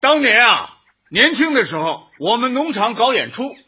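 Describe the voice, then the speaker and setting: An older schoolteacher husband in a radio comedy, theatrical and teasing. His voice is high and very bright, swinging in pitch. The recording is a little dull. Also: sing-song, normal speed, exaggerated voice. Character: older teacher husband, teasing, pompously objecting. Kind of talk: light comedy radio drama acting. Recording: dull sound with faint noise.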